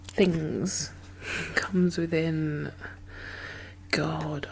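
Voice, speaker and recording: A woman playing a gentle, chatty medic in a zombie apocalypse ASMR roleplay, speaking softly and hesitantly with a reassuring manner. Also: sits low, creaky, slight background noise, heavily acted. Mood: soft reassurance. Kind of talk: soft-spoken, whispered ASMR roleplay.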